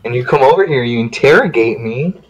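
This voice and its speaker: A man's voice: medium pitch, expressive, a little fast, a crowd in the background, rough recording. Casual male group-read participant, mid register, joking conversational delivery.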